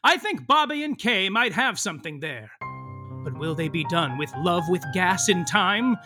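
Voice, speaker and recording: Hammy soap-opera narrator: bright, wildly swooping delivery, tongue-in-cheek grandeur. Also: male voice, high voice, very animated, moderate pace, soft background music, exaggerated voice. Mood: mock suspense. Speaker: narrator reading recap and credits. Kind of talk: theatrical scripted narration for an audio soap.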